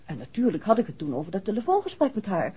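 An actress playing a refined, excitable detective's wife with a husky voice in a 1960s radio drama. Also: female voice, mid-range voice, fast talker, heavily acted. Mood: thoughtful, chatty. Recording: dull 1960s radio recording.

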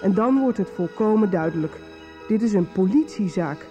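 A woman's voice: medium pitch, lively intonation, moderate pace, warm tone, muffled recording. A woman giving scripted, dramatic recap narration for a radio soap. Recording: music behind the voice.

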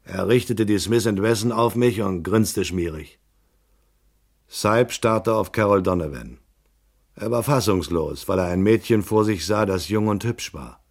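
Lakonischer Detektiv mit tiefer Stimme. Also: male voice, lowish voice, a little slow. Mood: laconic. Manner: terse, matter-of-fact. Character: tall, tough, laconic male private detective narrator. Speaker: first-person narrator. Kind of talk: hard-boiled radio crime narration.

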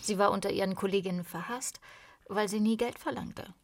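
A husky woman tells a story in a radio drama. She is breathy and knowing, savours her phrases theatrically and often sinks toward a whisper. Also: mid-range voice, fairly even intonation, brisk. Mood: confiding. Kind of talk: literary radio play narration.

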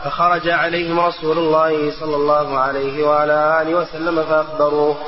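A man reads a religious text aloud in a flat, recitational tone. His voice is a little high, and it rings through a very echoey hall. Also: brisk, muffled recording. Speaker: student reader of the text.

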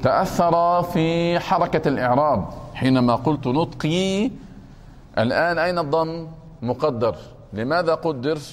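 A slightly higher-pitched man teaches a grammar lesson, walking through each example step by step. His voice carries a long reverb, as if recorded in a lecture hall. He checks with his listeners again and again. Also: a little hiss or hum. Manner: deliberate, repeats points and keeps asking if it is clear.

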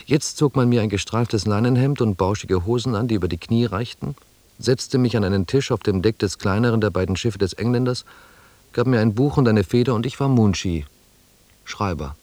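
Ein Schauspieler spielt einen Schreiber, der in einem Hörspiel gemessen und sachlich von seiner Vergangenheit erzählt. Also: male voice, on the low side, moderate pace, darkish tone, no echo, close to an everyday voice. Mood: reflective, wry. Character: male native scribe recalling his past, plainspoken, wry. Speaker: narrator in a radio drama. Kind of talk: first-person storytelling narration.

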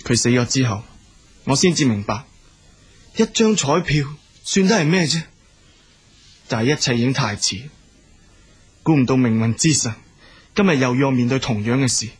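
An actor playing an earnest, forgiving young boyfriend in a radio drama, speaking Hong Kong Cantonese with emotional delivery. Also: male voice, medium pitch, expressive, moderate pace, pauses often, husky. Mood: remorseful, tender.

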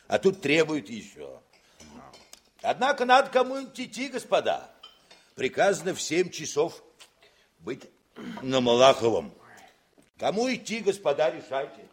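An actor playing a genial, authoritative artillery commander with a husky voice in a Soviet radio drama. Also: male voice, medium pitch. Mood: troubled, commanding. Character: genial, brisk artillery commander among his officers.

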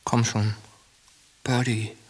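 A man's voice: fairly low pitch, flat delivery, takes it slowly, breathy edge. An actor playing a brash, coarse young soldier who goads his friend, in a radio drama. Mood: exasperated, coaxing. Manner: coarse, goading, slangy.

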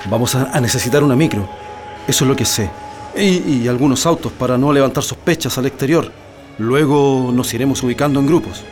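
Actor as measured prisoner leader, music behind. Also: male voice, medium pitch, lightly acted. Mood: methodical, firm. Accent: Chilean. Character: calm, methodical male leader of political prisoners plotting escape. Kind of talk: radio drama acting.

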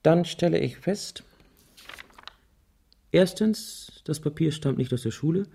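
An actor playing a wry, easygoing man in a German radio crime drama, thinking aloud methodically in a dry, deliberate way. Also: fairly high pitch, animated, vocal fry, mellow, slightly rough, heavily acted. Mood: analytical, dry.

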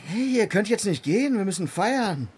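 An actor playing a swaggering young gang leader in a crime radio drama, cocky and commanding. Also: male voice, high-pitched, sing-song, moderate pace, a little mellow, slight background noise. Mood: bossy.

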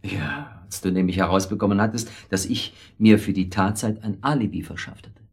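A middle-aged husband in a naturalistic radio play, with a low, husky voice. He rambles hesitantly through a confession, forever justifying himself and plainly weak. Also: normal speed. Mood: defensive, confessing. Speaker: radio drama actor. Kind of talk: naturalistic German radio drama acting.

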